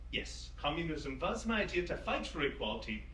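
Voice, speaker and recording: A man's voice: a little high, very animated, brisk, a bit bright. A man playing a courteous, regretful older political thinker, wordy and avuncular, in a staged play reading. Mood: remorseful, formal. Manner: avuncular, wordy, old-fashioned address. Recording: roomy, distant stage recording with faint noise.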